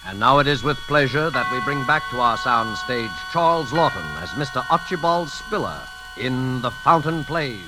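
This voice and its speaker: A man announcing a radio programme in a formal, measured 1940s scripted style. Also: medium pitch, animated, rough recording. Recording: vintage radio with music behind. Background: music.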